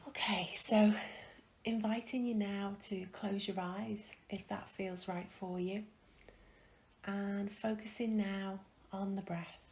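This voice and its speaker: Calm woman doing a guided meditation, really slow n even, soft breathy voice w some creak, faint noise behind. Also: mid-range voice. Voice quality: soft, breathy, often trailing into a whisper. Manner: gentle, calm, with long pauses. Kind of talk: guided meditation, soothing instruction.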